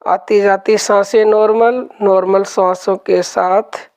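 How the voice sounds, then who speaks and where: A woman guides a meditation session in a medium-pitched voice. She speaks very slowly and calmly, giving step-by-step instructions. Phrases come back in gentle repetition. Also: clear, resonant tone.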